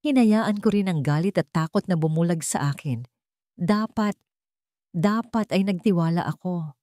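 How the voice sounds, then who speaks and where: A woman with a Filipino accent voicing a hurt, softening young mother in a romance story, quiet, tender and guarded. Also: on the low side, moderate pace, no echo, studio quality, natural voice. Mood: regretful. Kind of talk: romance radio-drama story dialogue.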